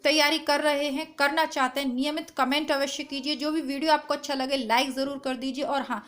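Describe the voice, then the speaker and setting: A woman teacher with a strained, hoarse voice, chatting to her students through an exam syllabus, recorded at home without a proper mic. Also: high voice, steady tone, fairly quick, a bit bright. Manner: chatty, reassuring, addresses students directly. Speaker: Hindi exam-prep teacher. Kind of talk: informal lesson to camera. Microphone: no proper mic, phone or device built-in.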